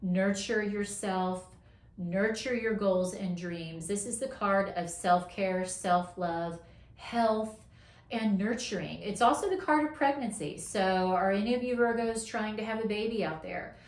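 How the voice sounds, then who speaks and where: A woman doing a casual weekly tarot reading, encouraging and affirming, her voice going hoarse as she talks. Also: mid-range voice, echoey room. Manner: encouraging, affirming, drawn-out emphasis. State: losing her voice, coughing. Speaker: tarot reader.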